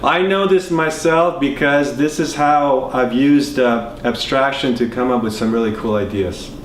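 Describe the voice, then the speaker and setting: A man's voice: medium pitch, a little slow. 40s guy, rambly whiteboard explainer, echoey room w faint hiss. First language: Serbo-Croatian. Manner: loose, rambling explanation with many restarts and asides. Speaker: entrepreneur explaining ideas. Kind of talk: whiteboard talk to camera. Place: indoor studio in front of a whiteboard. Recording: roomy echo, faint background hiss.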